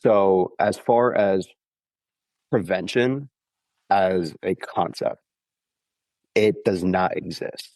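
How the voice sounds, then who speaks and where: A man's voice: low-pitched, slow, long pauses, darkish tone, no room sound, rough recording. A man recovering from the flu, sounding congested, explaining running injuries patiently on a podcast and often checking in with "okay?". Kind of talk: casual explanatory podcast talk.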